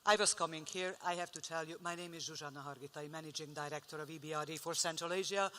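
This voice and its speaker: A woman's voice: low voice, steady tone, fast, sharp tone. A woman from Hungary moderating a panel, friendly and conversational. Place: large conference hall. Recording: reverberant conference hall.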